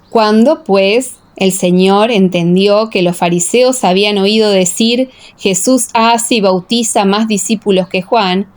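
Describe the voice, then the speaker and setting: A woman narrating a scripture audiobook in a measured, solemn, scripted reading style. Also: mid-range voice.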